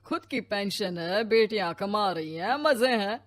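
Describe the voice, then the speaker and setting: A homely pensioner father from a cartoon story acted by a woman speaks slowly in a middling pitch. He is plain-spoken and content, with a wistful streak, in a dry recording. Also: animated, dry recording, lightly acted.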